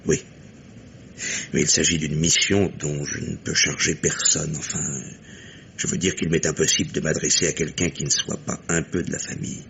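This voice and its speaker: An actor playing a blunt, formal industrialist father in his fifties, confiding deliberately in an old-style French radio drama. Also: a bass voice, flat delivery, vocal fry, a bit bright, a little hiss or hum, full character voice. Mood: grave, confiding. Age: 50s. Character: fifty-five-year-old industrialist father, positive, discreet, blunt.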